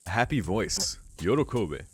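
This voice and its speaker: A man's voice: deep voice, big pitch swings, normal speed, slight background noise. A man hosting a let's play, reading a visual novel aloud with casual, sweary asides. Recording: music behind the voice. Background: game music.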